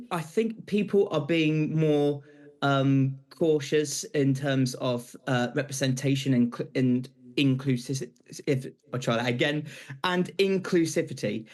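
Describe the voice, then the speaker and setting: A young British man answering questions as a guest on live television, earnest and slightly halting. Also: medium pitch, darkish tone. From Britain. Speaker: interview guest, pantomime graduate.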